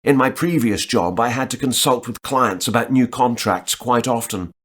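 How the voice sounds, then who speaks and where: A man playing a job applicant in a scripted listening dialogue, answering questions in a relaxed way and thinking aloud. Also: mid-range voice, moderate pace, slightly rough.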